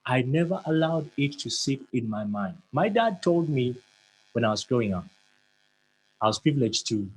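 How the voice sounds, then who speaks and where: A man's voice: medium pitch, slightly rough, rough recording. A man giving a motivational talk, earnest and emphatic, who stammers and repeats words now and then. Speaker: motivational speaker.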